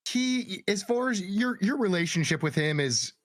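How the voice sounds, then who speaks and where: A man's voice: high-pitched, expressive, moderate pace, fairly bright tone, no echo. Man narrating a tabletop game, dry recording. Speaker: game master.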